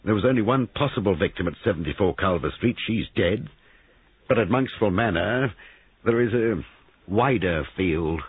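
Man acting an insistent police sergeant, raised pitch. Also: expressive, normal speed, breathy edge, no room sound. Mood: firm, grave. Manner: insistent, clipped questioning. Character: brisk, forceful police sergeant, gravely insistent interrogator. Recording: narrow-band, phone-like radio sound.

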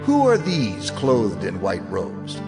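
A man playing a solemn, gentle heavenly elder in a scripture audio drama, speaking in a measured way. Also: lowish voice, huge pitch swings, heavily acted. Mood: solemn comfort. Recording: prominent music under the voice.

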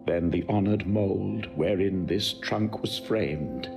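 An actor playing a proud, vengeful Roman general torn by family love, declaiming gravely and with passion in a classical Shakespearean audio drama. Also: male voice, sits low, takes it slowly, music in the background, full character voice. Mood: anguished, wavering. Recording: faint background noise.

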